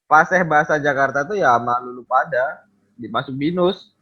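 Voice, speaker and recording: Bright-voiced man from Papua, lo-fi. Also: mid-range voice, moderate pace, breathy edge. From Papua, Indonesia. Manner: animated storytelling in Jakarta slang. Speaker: podcast co-host. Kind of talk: casual chat among friends. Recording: dull lo-fi audio.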